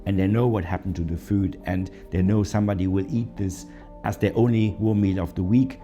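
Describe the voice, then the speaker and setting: A man's voice: low voice, fairly even intonation, fairly quick, warm tone, faint music behind. A man with a German accent, a hotel managing director, telling his story in an interview with hesitant fillers. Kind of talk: documentary interview.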